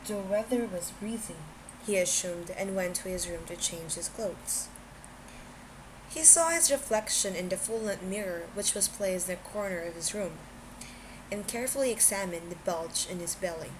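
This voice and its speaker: A woman's voice: mid-range voice, normal speed, background noise. A woman reading a scripted story aloud as an amateur audiobook narrator.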